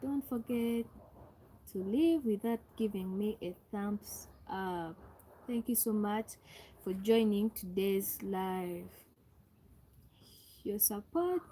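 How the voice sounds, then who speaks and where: Young Ugandan woman hosting a phone livestream, unhurried and warm-toned, faint room ambience behind. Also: medium pitch, a little hiss or hum, rough recording. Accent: Ugandan English. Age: young adult. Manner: repetitive, calls out to viewers and asks for thumbs up. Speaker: livestream host. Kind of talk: live stream greeting viewers.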